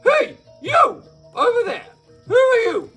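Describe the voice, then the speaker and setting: A man's voice: takes it slowly, soft background music, exaggerated voice. A man doing a squealing cheeky hoofed creature. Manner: high excited squeals, teasing. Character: cheeky greedy creature with hooves.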